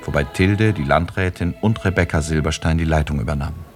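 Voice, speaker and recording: A male narrator tells a story for a radio play. His voice is deep and his delivery is scripted literary storytelling. Music is clearly heard underneath. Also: brisk. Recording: music behind the voice.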